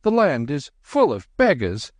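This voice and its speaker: A loud, blustering market gardener barks and splutters in a raised, strained voice, as a man reads him. The recording is close and dry, with a rough gravel in the voice. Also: a little high, animated, unhurried, no room sound, pitched above the performer's own voice. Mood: angry. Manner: blustering, shouting.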